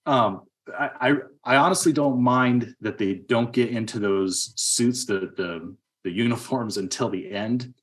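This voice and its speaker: A man's voice: medium pitch, measured pace. A middle-aged man giving his opinions in a casual, rambling movie podcast chat. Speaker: podcast host.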